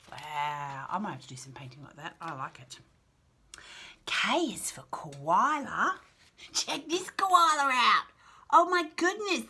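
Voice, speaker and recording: A woman with an Australian accent reading picture books aloud to toddlers in an animated, sing-song way, with chatty asides and questions to the child. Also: a little high, slightly rough. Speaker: children's picture book read-aloud host.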